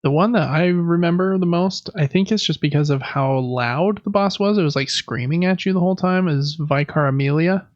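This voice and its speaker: A man's voice: fairly high pitch, normal speed, hardly any pauses, no echo, dull audio. A man co-hosting a gaming podcast, chatting in an informal, meandering conversational way. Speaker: podcast co-host. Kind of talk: casual chat about video games.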